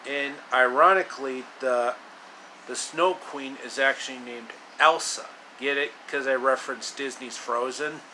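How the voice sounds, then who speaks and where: A man retelling a fairy tale in his own loose way, with halting, drawn-out delivery and casual asides. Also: mid-range voice, steady tone, sharp tone, slight background noise, low-quality recording. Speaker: storyteller.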